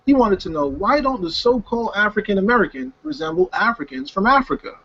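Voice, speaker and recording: A man narrating a video clip in a husky, gravelly voice, played back within a podcast. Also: very high voice, animated, fast talker, a bit bright. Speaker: video presenter. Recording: dull lo-fi clip audio.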